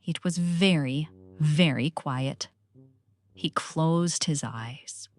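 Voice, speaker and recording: A woman storyteller giving an expressive read-aloud narration for children. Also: low voice, extremely slow, a little hiss or hum. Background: soft music.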